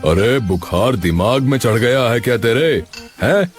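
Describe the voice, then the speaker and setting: A man's voice: mid-range voice, animated, unhurried, soft background music, lightly acted. A man playing a bossy contractor. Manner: bossy, hearty. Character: bossy middle-aged male contractor.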